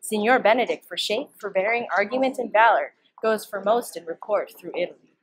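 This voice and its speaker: A teenage girl acts a young gentlewoman serving her mistress in a Shakespeare comedy. She is deferential and in on the scheme, speaking the old verse at a medium pitch. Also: a little fast, natural voice, rough recording. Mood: earnest, deferential. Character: Ursula, young gentlewoman attendant, deferential co-conspirator. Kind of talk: Shakespearean stage dialogue, student performance.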